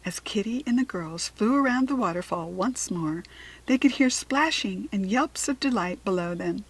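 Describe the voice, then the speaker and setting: Casting: American woman, storybook narrator, mid-range voice, careful and expressive scripted reading for children. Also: medium pitch, moderate pace, breathy edge, low-quality recording.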